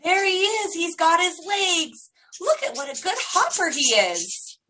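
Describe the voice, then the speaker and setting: A woman hosting a children's storytime, speaking like a teacher in an animated, simple way with drawn-out emphasis. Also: very high voice, low-quality recording.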